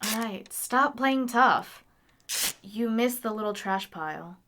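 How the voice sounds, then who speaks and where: A woman's voice: on the high side, expressive, slow pace, bright, forceful tone, slight background noise, heavily acted. A woman playing a nerdy, caring young engineer in an audio drama, talking in a casual, exclamatory, teasing way. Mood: reassuring.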